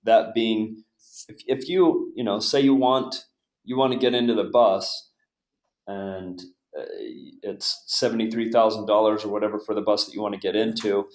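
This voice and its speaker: A man's voice: on the low side, fairly even intonation, normal speed. Hesitant male host in an echoey room. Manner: hesitant, many fillers and restarts. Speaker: webinar host. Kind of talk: webinar moderation.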